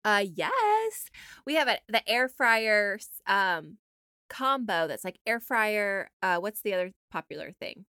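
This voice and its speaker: A woman's voice: medium pitch, big pitch swings, a little slow, pushed and bright, dry recording, clean studio sound. An American woman co-hosting a podcast in casual, conversational chat. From United States. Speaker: podcast co-host.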